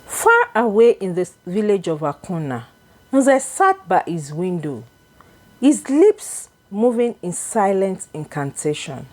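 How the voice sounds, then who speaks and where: A woman narrating a scripted folktale in a deliberate, expressive, dramatic storytelling manner. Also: mid-range voice.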